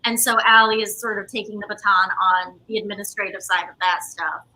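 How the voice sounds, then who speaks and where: A woman's voice: mid-range voice, fairly even intonation, brisk, pushed and bright, low-quality recording. A woman in her twenties speaking casually and conversationally as an interview guest. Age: 20s. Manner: informal, conversational. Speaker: theater company co-founder, interview guest.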